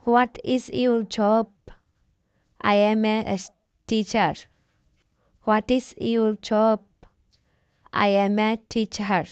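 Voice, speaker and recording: A woman reads simple practice dialogues at an extremely slow, deliberate pace. Her voice is smooth and clear, recorded dry and close with no room sound. The audio is slightly dull and lo-fi. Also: mid-range voice, a little mellow, no echo. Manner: deliberate, evenly paced beginner drill reading. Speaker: English lesson voice. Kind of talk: slow scripted conversation drill.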